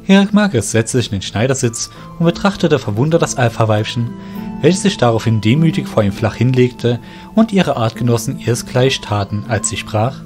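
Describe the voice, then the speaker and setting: A man's voice: medium pitch, expressive, moderate pace, darkish tone. A man narrating a scripted fantasy story as the narrator of an audio drama. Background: music.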